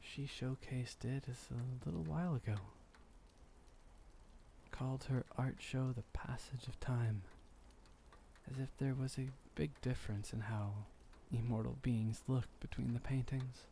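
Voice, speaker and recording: A man acting as a centuries-old vampire lover speaks very slowly and softly, with a gravelly creak in his voice. He is gentle, melancholy and formal, musing as if at a bedside in an intimate ASMR roleplay. Faint noise sits behind him. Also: medium pitch, steady tone. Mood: wistful musing. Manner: soft-spoken, unhurried, formal old-fashioned phrasing, musing.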